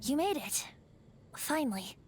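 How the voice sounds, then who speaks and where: High-pitched boyish bard spirit. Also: female voice, sing-song, a little slow. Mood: playful. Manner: theatrical, lilting storyteller. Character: boyish, playful wind spirit bard in human form. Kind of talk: anime-style video game acting. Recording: clear background music under the voice.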